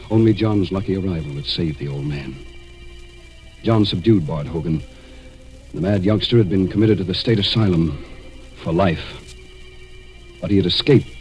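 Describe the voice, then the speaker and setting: An American actor in 1940s radio style playing a wounded, determined man telling of a murder hunt, dramatic and at times halting. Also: low-pitched, a little mellow, lightly acted, low-quality recording. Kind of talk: 1940s radio acting, first-person narration and dialogue.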